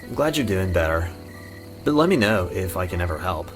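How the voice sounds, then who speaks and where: A man's voice: deep voice, very animated. A man voicing a friendly, awkward young ghost in a visual novel, rambling and correcting himself in an earnest way. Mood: gentle, earnest. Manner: halting, rambling, self-correcting. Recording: music and night insects behind. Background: music, crickets and frogs.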